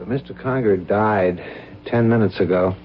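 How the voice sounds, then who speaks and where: A man's voice: lowish voice, a little slow, lightly acted. A man acting a businesslike, probing police lieutenant in an old-time radio drama. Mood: inquiring. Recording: dull old radio recording, faint noise.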